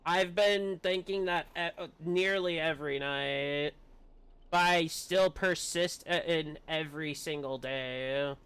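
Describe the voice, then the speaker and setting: A man with a fairly high, very smooth and clear voice talks casually on a game livestream. He sounds hesitant and confiding, speaking candidly and putting himself down as he goes. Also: slow pace, fairly bright tone. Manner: hesitant, self-deprecating, confiding. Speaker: game streamer.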